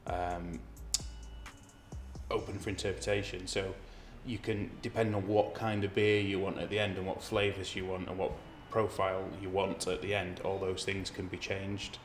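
A man around forty speaks in a very low voice with little movement in pitch. He explains his work as a brewer to a documentary interviewer. The room gives his voice clear echo. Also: level tone, moderate pace. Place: brick-walled room indoors. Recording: roomy echo, music underneath.

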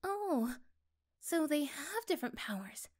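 A woman playing a caring, curious young girlfriend in a soft-spoken, tender ASMR roleplay, breathy at times. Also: high voice, very animated, unhurried. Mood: curious, apologetic.

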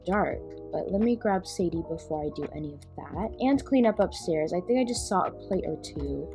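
A woman's voice: fairly low pitch, animated, normal speed, creaky, mellow. A young woman voicing a busy mom in a game roleplay, chatting as she narrates each task she does. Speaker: roleplay channel host playing a mom. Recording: faint background music.

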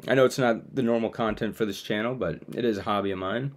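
Casual young man with a lowish voice. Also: fast. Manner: informal, conversational. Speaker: video creator presenting his own web app. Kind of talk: casual screen-share demo, talking to viewers. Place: small room at home, indoors.

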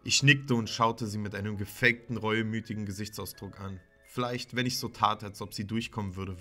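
A man's voice: fairly low pitch, pushed and bright. A man playing a sullen, troubled teenage son, bitterly narrating his own horror story in a dramatic way. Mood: defiant, tense. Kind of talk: scripted first-person horror narration. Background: music.

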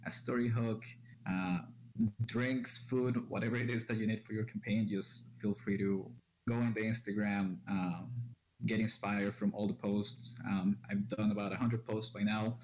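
A man's voice: fairly low pitch, steady tone, moderate pace, darkish tone, poor audio. A young man from Colombia, a native Spanish speaker, appears as a guest on a video call and talks hesitantly, often starting with "um", into a headset and studio mic at home. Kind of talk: casual video call chat. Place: small room at home. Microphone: headset with large studio mic.

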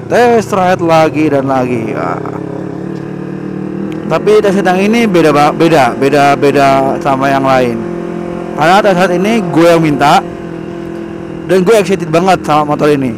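A young man chatting excitedly in a casual riding vlog, recorded on a helmet camera while on a motorbike in the city. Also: on the high side, measured pace, long pauses. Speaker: motovlogger. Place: on a motorbike on city streets, outdoors. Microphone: helmet-mounted action camera. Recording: loud wind and traffic noise.

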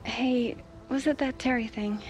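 A woman's voice: fairly high pitch, steady tone, a little slow, darkish tone, faint music behind, natural voice, rough recording. A woman playing a sixteen-year-old footballer, a teasing but caring little sister, casual in a game cutscene. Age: teens. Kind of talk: naturalistic game cutscene acting.

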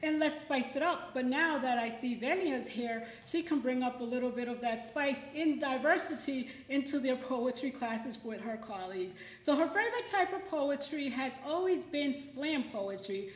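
A middle-aged woman speaks at a poetry event in a notably high voice. Her delivery is emphatic and declamatory, like someone reading to be heard. The microphone picks up a clearly echoey room. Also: expressive, moderate pace, hardly any pauses. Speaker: poetry event host and poet. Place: indoor library event room. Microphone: microphone on a stand. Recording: roomy, echoey event space.

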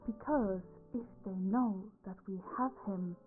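A woman's voice: mid-range voice, narrow, tinny audio, faint music behind, close to an everyday voice. Woman acting a commanding, teasing female mafia boss. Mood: guarded, businesslike. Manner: flirtatious, commanding, slow deliberate phrasing with breathy whispered endings. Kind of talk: roleplay audio drama, intimate acting.

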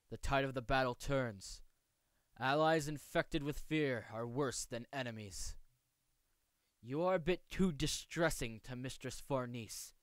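A man voicing a polite, aloof young swordsman from a manga, with formal, measured, precise speech. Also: fairly high pitch, smooth, no echo, natural voice. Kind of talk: amateur voice acting showcase of manga lines.

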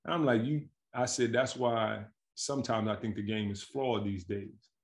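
Gravelly-voiced man on a video call. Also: on the low side, moderate pace. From Milwaukee, Wisconsin, USA. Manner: relaxed, slangy, animated storytelling with frequent laughs. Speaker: guest, pro basketball player. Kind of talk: casual interview chat. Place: home with staircase behind, indoors. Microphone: video call webcam.

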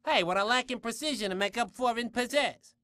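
A man's voice: extremely high pitch, fairly quick, bright, forceful tone, no echo, clean studio sound. A man playing a chatty, eager little undead sidekick in a game cutscene, chirpy and glib with constant jokes. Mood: wisecracking. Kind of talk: video game cutscene acting.

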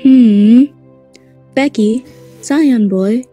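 A woman voicing a naive, indecisive young anime woman in a fan comic dub, soft and husky. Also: fairly high pitch, very slow, soft background music. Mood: puzzled.

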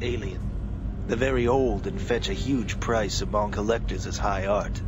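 An actor playing a male space security officer who gives brisk, matter-of-fact orders in a video game. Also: steady tone, lightly acted. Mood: calm. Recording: game music and engine rumble behind.

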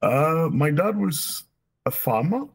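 A man's voice: a little high, very animated, slow, creaky, husky. A man in his forties from Cameroon, a guest on a video call interview, speaking with many hesitations and restarts. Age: 40s. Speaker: guest, operational research professor. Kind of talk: casual interview chat. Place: small office indoors. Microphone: video call webcam.

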